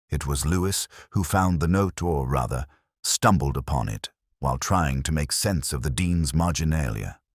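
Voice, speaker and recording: A man reading first-person detective fiction in a brooding, measured, literary manner. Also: rumbling low, no room sound, studio quality. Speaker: narrator of detective mystery.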